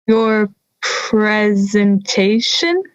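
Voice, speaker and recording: A woman's voice: mid-range voice, animated, drawn-out words, smooth, no echo, highs cut off. A young woman playing a touchy, wry, harmless talking wolf in an audio drama, indignant and teasing. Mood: puzzled, teasing.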